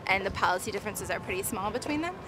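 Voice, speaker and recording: A woman's voice: medium pitch, animated, racing, over music. A young woman in her twenties answering interview questions thoughtfully. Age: 20s. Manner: thoughtful, with fillers and restarts. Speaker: graduate student at rally. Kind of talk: street interview.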